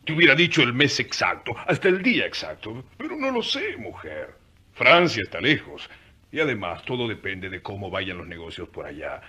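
An actor playing a busy, impatient but affectionate merchant husband in a radio drama, brusque and emphatic. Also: male voice, lowish voice, wildly swooping pitch, moderate pace, bright, forceful tone, breathy edge, heavily acted. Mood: explaining, teasing.

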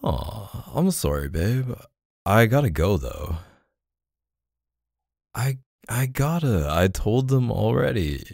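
A man's voice: on the low side, big pitch swings, takes it slowly, creaky, long pauses, a little mellow, no room sound, studio quality, exaggerated voice. A man playing a laid-back, apologetic boyfriend in an intimate audio roleplay, talking casually and hesitantly with coaxing pet names. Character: laid-back, apologetic young boyfriend soothing his neglected partner. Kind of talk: boyfriend ASMR roleplay, casual intimate one-sided dialogue.

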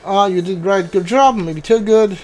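A man's voice: sits high, normal speed. A man doing casual video game playthrough commentary, reading on-screen text aloud and mumbling to himself as he thinks. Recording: game music behind the voice. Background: video game music.

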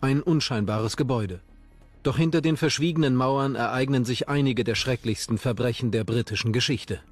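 A man's voice: medium pitch, moderate pace, soft background music. A man reading scripted narration for a true-crime documentary.

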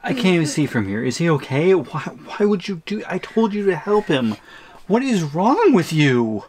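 An actor playing a shrunken, terrified captive in an audio roleplay, pleading in a halting way. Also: mid-range voice, sing-song, a little mellow, a little hiss or hum, natural voice. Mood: angry panic. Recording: music under the voice.

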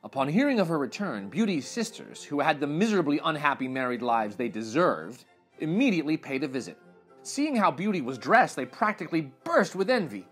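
A man in his thirties reading a fairytale aloud to children in expressive narration. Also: a little high, slightly rough. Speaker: children's story reader. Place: small furnished room indoors.

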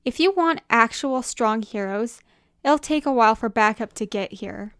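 A woman voicing a scornful, sharp-tongued superheroine in a storybook, scoffing as she talks. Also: on the high side, animated, normal speed, smooth, no echo, studio quality. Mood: exasperated.

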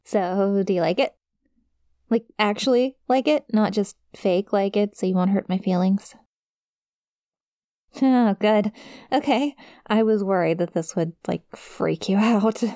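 Woman as an adorkable, mellow, slow-talking succubus. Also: medium pitch, dry recording, studio quality, natural voice. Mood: bashful, hopeful. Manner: shy, hesitant, giggly, casual. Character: friendly, awkward, adorkable young female succubus demon. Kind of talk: ASMR roleplay, intimate one-sided conversation to the listener.

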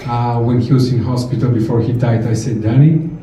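Middle-aged Bulgarian-accented man, dark mellow tone, animated memorial talk, heard from across a reverberant hall. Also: mid-range voice, fairly quick, lo-fi sound. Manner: animated, gesturing, laughing storyteller. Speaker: eulogy speaker, missionary. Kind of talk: memorial speech. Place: large event hall, indoors. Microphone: handheld mic through room speakers. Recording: far-off echoey hall, faint noise.

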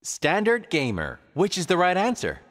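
A man voicing an upbeat cartoon quiz show host in a video game, with a theatrical, drawn-out announcer delivery. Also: sits high, wildly swooping pitch. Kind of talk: scripted game show hosting. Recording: reverberant studio with crowd noise.

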